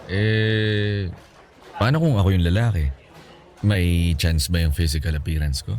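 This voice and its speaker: A man's voice: deep voice, a little slow, darkish tone, a little hiss or hum. A man playing a charming young suitor in a Filipino radio romance drama, speaking with a Filipino accent in a playful, coaxing, conversational way.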